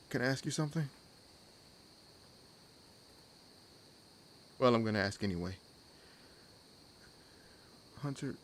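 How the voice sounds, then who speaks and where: A man playing a centuries-old, wry and world-weary vampire in an audio roleplay, musing dryly to his companion with unhurried pauses. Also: fairly low pitch. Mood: musing, curious. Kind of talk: audio roleplay acting addressed to the listener. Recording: clear background hiss and night ambience. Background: added forest ambience with crickets and insects.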